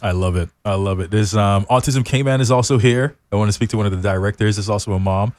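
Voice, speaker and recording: A man's voice: lowish voice, fast talker, background noise. A man hosting a casual studio interview, talking informally and with effusive encouragement to his guests. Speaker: talk show host. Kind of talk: casual radio interview chat.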